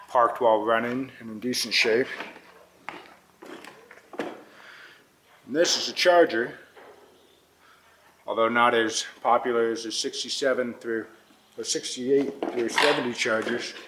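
A man filming a walkthrough of an old barn, narrating in a calm, matter-of-fact way with pauses, recorded on a handheld camera inside a metal barn. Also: mid-range voice, slight background noise, rough recording. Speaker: vlogger filming a barn find. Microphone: handheld camera mic.

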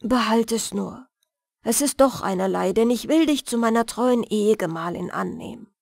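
A woman voicing a stern but soft-hearted young male hunter in a measured storybook reading. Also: fairly high pitch, creaky, no echo, studio quality. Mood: forgiving.

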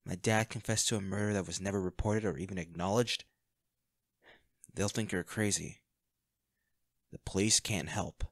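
A man playing a devoted, protective bodyguard with a dark past in an intimate audio roleplay, speaking earnestly and gravely. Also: deep voice, steady tone, normal speed, pauses often, no echo, studio quality. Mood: grave, determined.

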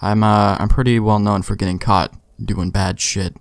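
A man's voice: fairly low pitch, steady tone, normal speed, no room sound. A man giving casual, wry let's play commentary, joking and swearing.

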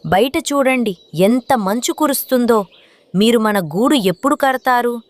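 A woman's voice: on the high side, no room sound, natural voice. A woman voicing a haughty, conniving female crow wife in a children's cartoon story. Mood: nagging.

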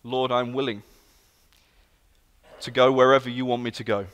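British guest preacher, 30s, London accent, earnest storyteller, echoing church hall. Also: male voice, medium pitch, normal speed, a little hiss or hum. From England. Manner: earnest, storytelling, lively emphasis. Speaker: guest speaker. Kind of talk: sermon. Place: large church sanctuary. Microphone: handheld and stage mic. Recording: long hall reverb.

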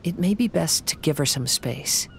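A man playing a calm, thoughtful young swordsman in an anime video game, soft-spoken and measured. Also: a little high, creaky, a little hiss or hum. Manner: measured, reflective.

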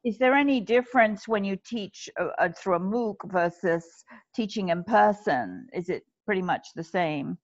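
A woman moderates a webinar Q&A with polite, gracious phrasing. Her voice is mid-pitched and comes through dry and muffled over the call. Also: expressive, moderate pace, no room sound. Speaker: webinar host.

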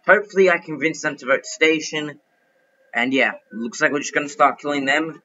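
A young man giving chatty, excitable commentary while playing a game, thinking out loud as he goes. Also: a little high, brisk, highs cut off. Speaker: gaming youtuber. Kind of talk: casual gameplay commentary.

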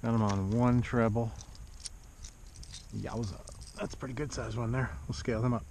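A man's voice: medium pitch, fairly even intonation, normal speed. A middle-aged man giving casual running commentary while kayak fishing, talking in short, plain-spoken phrases. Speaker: kayak angler and video host. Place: outdoors in a kayak on a lake. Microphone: action camera mounted on body or kayak. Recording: dull muffled action-camera audio, loud outdoor noise.

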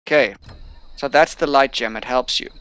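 A man's voice: mid-range voice, moderate pace, a bit bright. A young man who is not a native English speaker, reading tutorial text aloud and chatting casually while playing a game. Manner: reads on-screen tutorial text aloud, then chats. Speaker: gameplay streamer. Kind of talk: casual let's-play commentary.

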